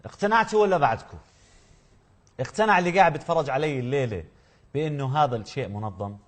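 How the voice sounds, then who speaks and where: Fast-talking emphatic Jordanian man. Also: mid-range voice, animated. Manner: emphatic, indignant, rhetorical questioning. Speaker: presenter. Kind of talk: opinionated commentary to viewers.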